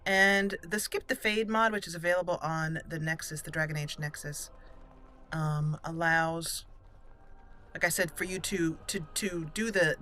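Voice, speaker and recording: A woman's voice: fairly low pitch, sharp tone. A woman hosting a roleplay let's play, chatting and explaining as she plays, with music behind her. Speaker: gaming channel host.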